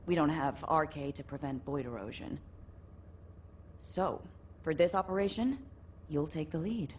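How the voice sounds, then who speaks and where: Game casting: a composed female briefing officer. Deep for a woman, measured and serious, slight ambience behind. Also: darkish tone, a little hiss or hum. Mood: businesslike. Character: composed, caring female military operations officer. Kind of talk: video game mission briefing acting.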